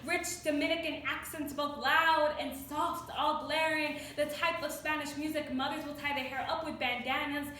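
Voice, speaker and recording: Echoey, high-pitched teenage girl reciting slam poetry. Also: steady tone, bright, forceful tone. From New York City, United States. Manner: deliberate, emphatic delivery with dramatic pauses. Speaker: student slam poet. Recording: echoey room, distant mic, faint noise.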